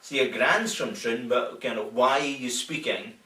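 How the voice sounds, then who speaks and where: A man's voice: mid-range voice, moderate pace, pushed and bright, echoey room, far from the mic, poor audio. A Scottish man hosting a casual comic fight-sports chat show, joking and chuckling with stammering restarts. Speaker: show host.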